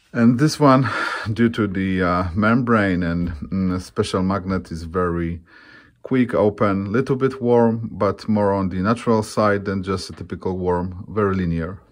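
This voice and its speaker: Deep-voiced man, halting product presenter. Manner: halting, searching for words. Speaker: loudspeaker designer presenting own products.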